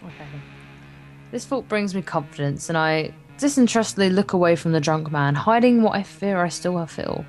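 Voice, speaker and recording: Lower-voiced woman reading over guitar music. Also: lively intonation, normal speed, a little mellow. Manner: stumbles over words, breaks off for wry, sweary commentary. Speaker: let's play co-host. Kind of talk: reading visual novel narration aloud with casual asides. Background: acoustic guitar game music.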